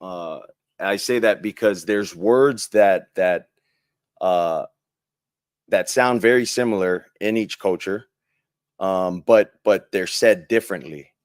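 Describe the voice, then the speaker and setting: A man from Hawaii answering an interview question, halting and searching for his words. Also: sits low, smooth, no room sound, studio quality. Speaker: football player in interview.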